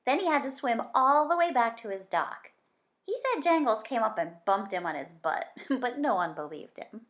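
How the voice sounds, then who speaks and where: Higher-voiced woman reading a storybook aloud. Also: moderate pace. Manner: dramatic, varying from hushed suspense to emphatic excitement. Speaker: children's book reader. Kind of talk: expressive storybook read-aloud.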